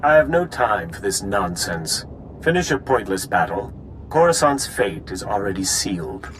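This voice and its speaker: An actor voicing a cold, haughty male dark lord villain in a sci-fi video game, imperious and disdainful. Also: lowish voice, sing-song, normal speed, slight background noise, low-quality recording. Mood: contemptuous.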